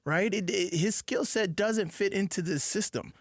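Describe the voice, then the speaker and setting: A man who played the sport, co-hosting a sports radio show and chiming in with quick agreement and emphatic repeats. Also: sits high, dry recording, clean studio sound. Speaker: former player turned radio co-host. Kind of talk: casual sports talk.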